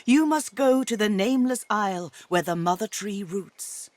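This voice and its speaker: A woman's voice: mid-range voice, lively intonation, moderate pace, a little mellow, lightly acted. A woman playing a reverent, pleading elf in a fantasy game, with formal, earnest, archaic speech. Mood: earnest urging. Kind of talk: fantasy video game acting.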